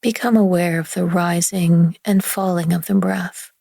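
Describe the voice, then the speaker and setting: Woman doing guided meditation, gravelly hushed voice, super dry close sound, long pauses. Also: lowish voice, fairly even intonation, no echo. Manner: soothing, gentle, hushed delivery with long pauses. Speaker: yoga nidra meditation guide.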